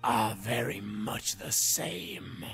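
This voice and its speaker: Crazy pompous inquisitor villain, super gravelly, really drawn out monologue, bit of music behind. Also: male voice, fairly low pitch, lively intonation, full character voice. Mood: coldly menacing. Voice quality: gravelly, forceful. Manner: slow, theatrical, pompous declamation. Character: grandiose sadistic male inquisitor, fanatical monologuing villain. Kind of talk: dramatic sci-fi villain monologue in a fan audio drama.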